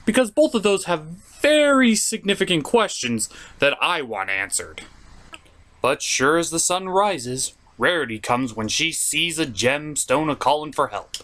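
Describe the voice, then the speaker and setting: A theatrical host narrates a comedic cartoon review. The pitch swings widely, and the delivery is sarcastic and mock-exasperated, with some swearing. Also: big pitch swings, a little slow, a bit bright. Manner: profane.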